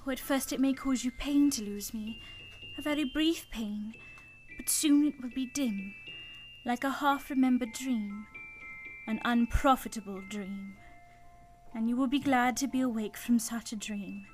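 A woman acts a gentle young woman in a radio drama, wistful and resigned. The voice is high and earnest, with faint music behind it. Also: a little slow, close to an everyday voice. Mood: tender sorrow. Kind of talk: radio play acting.

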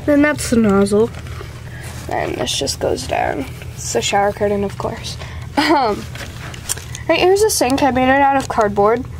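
A woman's voice: on the high side, wildly swooping pitch, measured pace, long pauses. A young woman giving a casual, rambling show-and-tell tour at home, giggly, self-deprecating and apologetic, recorded on a handheld camera's own mic. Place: small carpeted room at home. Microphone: handheld camera's built-in mic. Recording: noisy handheld amateur camera audio.

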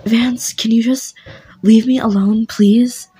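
A woman's voice: a little high, fairly even intonation, slow, dark tone, breathy edge, full character voice. A hurt, curt young woman, as a narrator puts her on. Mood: defensive. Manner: curt, pleading to be left alone.

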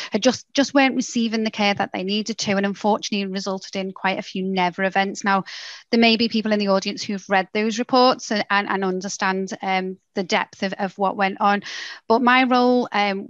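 A woman's voice: mid-range voice, no echo. A woman in her thirties, a senior nurse, presenting on a panel over a headset from home, talking hesitantly with many fillers and restarts. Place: home room, indoors. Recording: dry, dull lo-fi video call audio.

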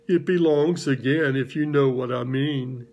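A man voicing a gloomy old donkey in a storybook reading, speaking in a slow, sorrowful drawl. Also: mid-range voice, a little mellow, dull audio. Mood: mournful.

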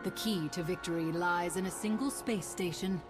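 A woman's voice: on the low side, normal speed, close to an everyday voice. A woman playing a bold, ruthless female admiral in a video game, commanding and formal, with a sardonic edge. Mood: rousing command. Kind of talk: video game cutscene acting. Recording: orchestral game music behind.

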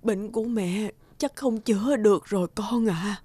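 A woman's voice: medium pitch, moderate pace, background noise, lightly acted. An actress playing a tired, ill mother in a cartoon. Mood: weary. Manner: tired, soft. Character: sick weary mother.

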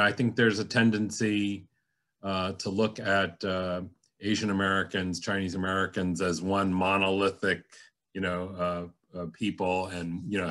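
A middle-aged man speaks with a somewhat low voice on a panel. He is earnest and a little halting as he gathers his thoughts. He is heard over a video call. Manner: earnest, animated hand gestures, halting. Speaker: panel moderator at a museum. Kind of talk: panel discussion comment.

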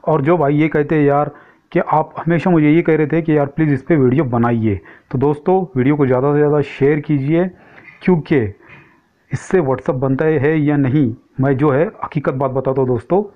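A man's voice: a little high, fairly even intonation, normal speed, phone-quality sound. A man giving a casual, explanatory tech tutorial, often addressing his viewers directly. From India. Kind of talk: casual screen-recording tutorial commentary.

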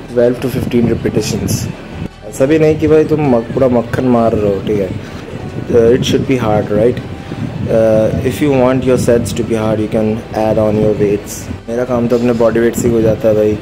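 A young man explaining chest exercises in a casual gym tutorial, speaking straight to his viewers like friends. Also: mid-range voice. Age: young adult. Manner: casual, instructive, addresses viewers as brothers. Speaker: fitness vlogger. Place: small indoor gym. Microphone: camera on a stand a few steps away. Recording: echoey gym room with music.

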